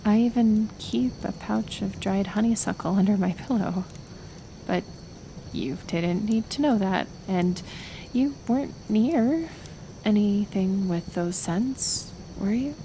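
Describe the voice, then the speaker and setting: A woman playing a kind, flustered, curious healer in an intimate ASMR roleplay, speaking softly and hesitantly. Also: mid-range voice, a little hiss or hum, rough recording. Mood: confused, flustered. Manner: halting, soft, hesitant delivery. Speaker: roleplay audio performer.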